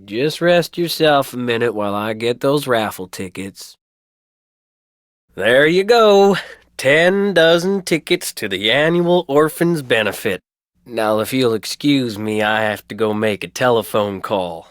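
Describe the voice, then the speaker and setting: A clear, smooth male voice at an average pitch, acted by a man as a folksy small-town tradesman in a game. He is easygoing and chatty, a keen fisherman. The sound is dry and clean. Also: lively intonation, measured pace, no room sound, lightly acted. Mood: genial, chatty. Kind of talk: video game character acting.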